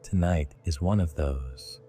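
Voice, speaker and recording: A man narrating a scripted guided sleep meditation in a hushed, deliberate way, in short phrases. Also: rumbling low, faint music behind.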